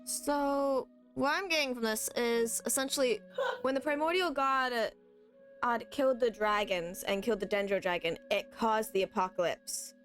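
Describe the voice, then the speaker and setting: A woman's voice: a little high, normal speed, a bit bright, soft background music. A woman chatting casually as she comments on a video game. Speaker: gameplay commentator.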